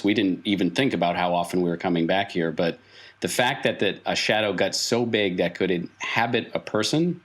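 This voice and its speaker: A man playing a weary, cryptic circus clown who explains things gravely in a tabletop game. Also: low voice.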